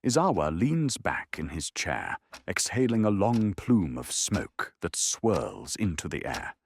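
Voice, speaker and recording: Male narrator for anime audio drama. Deep, creaky, husky voice, dramatic scripted delivery with lively intonation. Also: measured pace, a little hiss or hum.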